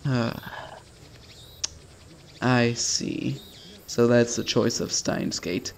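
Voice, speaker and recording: A man's voice: mid-range voice, level tone, very slow, vocal fry, slight background noise. A man hosting a gaming video, reading a visual novel aloud casually and stumbling now and then with sweary, self-deprecating asides.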